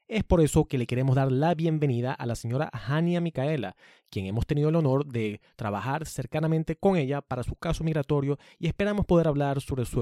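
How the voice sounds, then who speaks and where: A man's voice: mid-range voice, dark tone, no echo, studio quality. A man hosting a community radio show, speaking in a formal, scripted way. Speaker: radio host.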